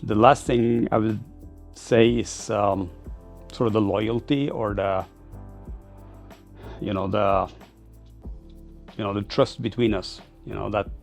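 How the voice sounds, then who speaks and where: A man speaks in a fairly low voice with a clear creak to it. He answers interview questions informally, as a company founder would, circling through his thoughts with loose, hesitant phrasing. Faint music sits behind him. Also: fairly even intonation, slow, pauses often. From Norway. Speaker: startup founder being interviewed.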